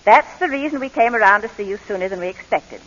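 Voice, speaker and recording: An actress playing a brisk, courteous but firm middle-aged orphanage inspector in a 1940s radio drama. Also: female voice, medium pitch, animated, fairly bright tone. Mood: gently persuasive. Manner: composed, polite, businesslike. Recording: dull, lo-fi 1940s radio recording.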